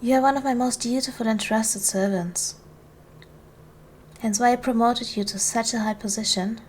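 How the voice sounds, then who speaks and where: High-voiced gracious duchess, played by a woman, soft ASMR. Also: fairly even intonation, slight background noise, natural voice. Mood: gentle, reassuring. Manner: formal, measured, soothing. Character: gracious, commanding noble duchess, protective of her servant. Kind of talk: ASMR roleplay, soft formal period speech.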